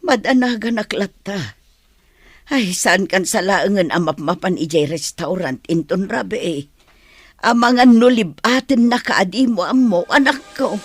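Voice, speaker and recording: Woman acting an older village mother, husky, fretful, northern Philippine accent, radio play delivery. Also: mid-range voice, expressive, measured pace. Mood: worried.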